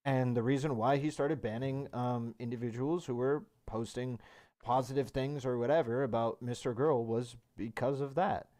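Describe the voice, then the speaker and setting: A man's voice: mid-range voice, fairly even intonation, moderate pace, mellow. A man arguing in a stream debate, pressing the other side with questions and checking for agreement. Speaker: debater in a played stream clip.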